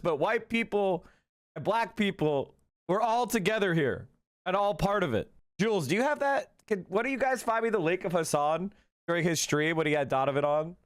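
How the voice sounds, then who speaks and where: A man hosting a political livestream, talking excitedly and profanely in a rambling, casual way. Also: high voice.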